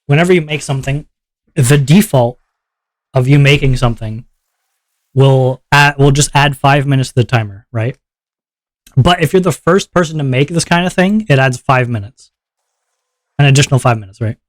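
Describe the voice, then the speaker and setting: Streamer guy going over rules with chat, mid pitched, dry close mic, keeps saying right? okay?. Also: normal speed, pauses often, no echo. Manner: upbeat, chatty, checks in with chat often; relaxed lower voice after a viewer redeem. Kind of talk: casual livestream chat explaining rules.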